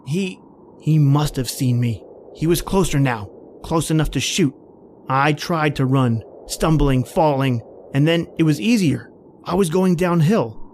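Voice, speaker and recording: A man's voice: on the high side, lively intonation, unhurried, darkish tone, a little hiss or hum. A man playing a haunted, panicky man stranded in polar cold, telling his ordeal in an old-time radio horror style. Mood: tense, fearful. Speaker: podcast host and actor.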